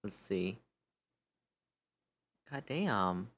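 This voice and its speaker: A man's voice: lowish voice, lively intonation, very slow, a bit bright. A tired man hosting a livestream, chatting casually and thinking aloud as he goes. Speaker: livestream host.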